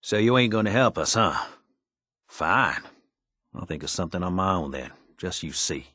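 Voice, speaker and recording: An actor playing a folksy, wisecracking American tinkerer in a video game, talking in casual slang. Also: male voice, low voice, animated, moderate pace, bright, forceful tone, no echo, clean studio sound, heavily acted. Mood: blunt, exasperated. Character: folksy, wisecracking male tinkerer and scrappy settler ally.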